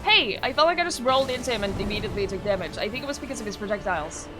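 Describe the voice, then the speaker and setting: A woman's voice: medium pitch, animated, rapid-fire, music in the background. A young woman from Norway chatting casually with her chat while streaming a game, answering questions with frequent fillers and small laughs.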